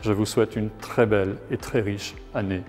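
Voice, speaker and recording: A man from France, a local elected official, reading a scripted New Year address in a formal, measured way. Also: lowish voice, fairly even intonation. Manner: formal, measured delivery of a prepared speech. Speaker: local elected official, head of an intercommunal council. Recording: long hall reverb, music underneath. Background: background music.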